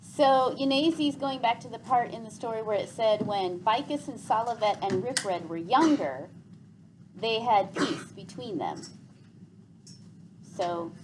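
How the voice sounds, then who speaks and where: A woman's voice: mid-range voice, lively intonation, a little slow, long pauses. A woman teacher reading aloud to a class and leading discussion, restating points as she goes. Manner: restates and sums up what others say. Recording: clear background noise.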